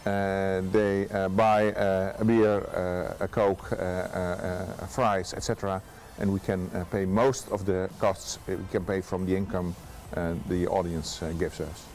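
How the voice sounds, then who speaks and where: A middle-aged man speaks English with a Dutch accent in a deep, low voice. He talks haltingly, stopping often on "uh" as he explains things in an outdoor interview in a park, with faint music in the background. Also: level tone, a little mellow. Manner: halting, many uh fillers. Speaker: festival manager.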